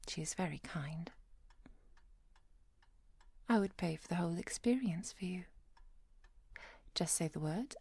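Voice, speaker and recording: A woman acts out a protective vampire hunter for an ASMR roleplay, in a husky, breathy voice that often sinks to a whisper. She is calm, kind and formal, reassuring in measured phrases. The sound is close and very dry, with a slight gravelly creak in her tone. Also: lowish voice, no echo, exaggerated voice. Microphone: close microphone, dry.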